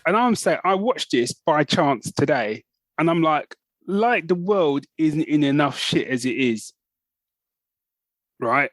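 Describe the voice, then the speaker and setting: Chatty British man, slightly high voice. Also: lively intonation, takes it slowly, no room sound. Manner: chatty, laughing, lots of "you know what I mean". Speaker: podcast co-host. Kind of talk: casual chat.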